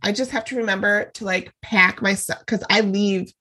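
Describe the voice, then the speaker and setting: A woman's voice: mid-range voice, fairly even intonation, normal speed, bright, forceful tone, husky. A young adult woman co-hosting a podcast, nasal and congested from a cold, bubbly and laughing often. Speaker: podcast co-host. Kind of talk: casual chat.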